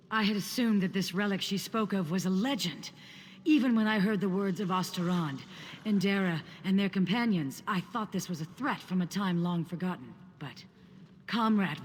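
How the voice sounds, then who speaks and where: An actor playing an earnest guild comrade in a fantasy video game, grave and urgent, with formal and deliberate phrasing. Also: male voice, sits high. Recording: long reverb, faint background noise.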